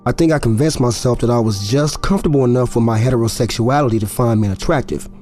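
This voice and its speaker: A man's voice: medium pitch, quick pace, a little mellow, faint music behind, lightly acted. Man acting young male athlete, guarded, candid, hesitant conversational confession, audio drama. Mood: reflective, confiding.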